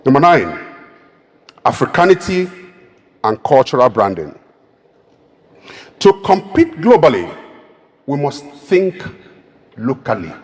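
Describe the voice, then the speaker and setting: A Nigerian man with a clear Igbo accent addresses an audience in an emphatic, oratorical style, building each point to a rousing peak. His pitch rises and falls in wide, animated sweeps. His voice carries the long reverb of a large hall. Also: takes it slowly, long pauses. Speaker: keynote speaker. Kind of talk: public speech.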